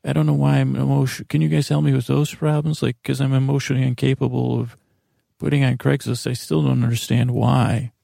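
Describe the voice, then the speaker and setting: A man in his forties hosting a bedtime podcast, rambling haltingly and interrupting himself in a self-deprecating monologue. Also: medium pitch, vocal fry, dark tone, no echo, studio quality. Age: 40s. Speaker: podcast host.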